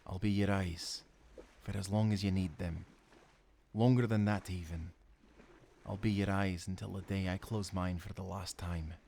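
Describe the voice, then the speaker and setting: An actor playing a loyal, tender sailor in a nautical audio roleplay, speaking in an earnest, gentle way. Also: male voice, low-pitched, fairly even intonation, normal speed, gravelly, a little hiss or hum. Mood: tender, devoted. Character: loyal, tender young sailor, devoted companion.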